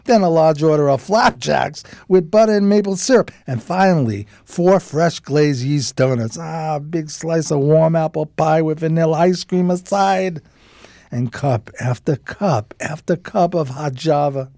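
Folksy 1920s street pal and storyteller, male actor; soft dark timbre, on the higher side, slangy and loose. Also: unhurried, vocal fry, no room sound, studio quality. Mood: wry, envious. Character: streetwise, loyal homeless pal and narrator, 1920s New York. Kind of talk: radio play acting and storytelling.

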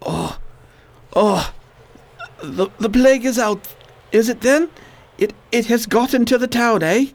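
A man's voice: very high-pitched, unhurried, creaky, long pauses, heavily acted. Man acting a weak, suffering invalid nobleman. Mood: weak, weary. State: weak, in pain. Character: sick, suffering man of rank, pleading then weary. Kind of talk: radio drama acting.

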